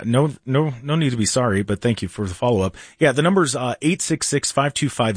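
A man's voice: fairly low pitch, no room sound. A man hosting a talk radio show in a studio, chatty, laughing often and restarting his sentences. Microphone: studio microphone.

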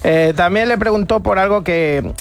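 A man's voice: sits high, moderate pace, gravelly, a crowd in the background. A man with an accent from Spain hosting a radio show in casual, joking banter. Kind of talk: casual comic banter and presenting.

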